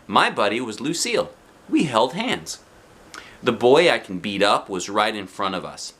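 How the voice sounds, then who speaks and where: Animated, bright-voiced man reading a storybook. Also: lowish voice, normal speed. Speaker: storybook reader. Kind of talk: animated read-aloud narration for children.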